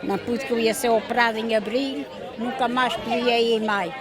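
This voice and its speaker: An older Portuguese-born woman talks casually about the sweets she sells, now and then dropping in English words. She is recorded outdoors, with a loud crowd chattering all around her. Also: fairly high pitch, steady tone, normal speed. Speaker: festival volunteer selling sweets. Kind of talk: casual interview. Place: outdoor festival.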